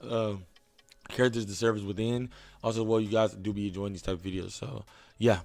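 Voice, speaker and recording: A hoarse young man hosting a reaction video, chatting casually and thinking aloud as he goes. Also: on the low side, little pitch movement, fairly quick, darkish tone.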